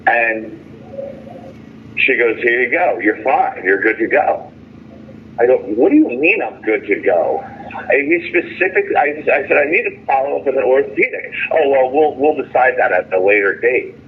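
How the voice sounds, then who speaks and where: An indignant man speaking off the cuff over the phone, halting and repeating himself as he airs grievances in an emphatic way. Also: medium pitch, big pitch swings. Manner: halting, repetitive, with stumbling restarts; indignant, emphatic storytelling with quoted dialogue. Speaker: detainee calling in from jail. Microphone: telephone. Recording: tinny, noisy phone line.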